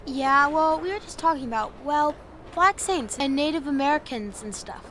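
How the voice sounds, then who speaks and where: A woman's voice: high voice, lively intonation, moderate pace, a bit bright, slight background noise. An actress playing an outspoken, friendly young girl in an audio drama, blunt and chatty. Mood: candid.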